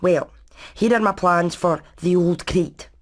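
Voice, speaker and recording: A woman voicing a practical, clever engineer hen in an animated game, methodical and matter-of-fact. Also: fairly low pitch, slow pace. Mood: methodical, keen. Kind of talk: animated video game voice acting.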